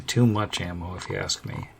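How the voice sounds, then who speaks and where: A man's voice: low voice, unhurried, gravelly, background noise. A man chatting casually as the game master on a podcast panel. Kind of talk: casual chat.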